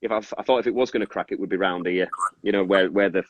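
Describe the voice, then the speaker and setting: Rambling low-voiced northern English man. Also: fast. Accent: Cumbrian northern English with Scottish influence. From Carlisle, Cumbria, northern England. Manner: rambling, self-interrupting, apologetic about tangents. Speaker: toy maker and resin artist, guest. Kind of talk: casual, informal craft explanation. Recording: muffled, echoey room sound.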